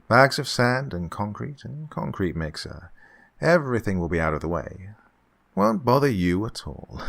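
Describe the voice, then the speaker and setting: A man's voice: lowish voice, gravelly, fairly bright tone, heavily acted. A man acting a suave, gloating murderous employer in a horror radio play, with oily politeness and fussy, menacing repetition. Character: suave, gloating male employer who murders his housekeeper.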